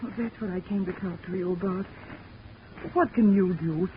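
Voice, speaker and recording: A woman's voice: fairly low pitch, normal speed, background noise, natural voice. Actress as a teasing young woman, old radio. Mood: pleading. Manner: coaxing, teasing. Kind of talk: 1940s radio acting. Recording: dull old 1940s radio recording.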